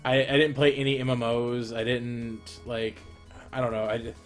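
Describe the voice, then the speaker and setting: A man's voice: medium pitch, little pitch movement, moderate pace, soft background music. A young man chatting casually as he animates, rambling and opinionated with lots of fillers and restarts. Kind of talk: casual commentary while animating.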